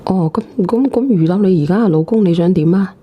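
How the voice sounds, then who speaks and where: A woman's voice: lowish voice, fairly quick, muffled recording. A woman with a Cantonese accent hosting a phone-in, bluntly prodding a caller with casual questions. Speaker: phone-in show host.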